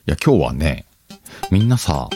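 Very deep-voiced man chatting casually. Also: very animated, normal speed. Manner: conversational, thinks aloud, addresses listeners directly. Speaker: podcast host. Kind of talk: casual solo chat to listeners. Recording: background music under the voice.

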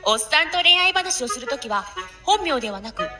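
A woman's voice: high voice, very animated, a little fast. A woman playing a self-assured single office lady of about thirty, a romantic dreamer narrating her own audio drama. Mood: dreamy narration. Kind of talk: audio drama acting with first-person narration. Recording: loud music over the voice.

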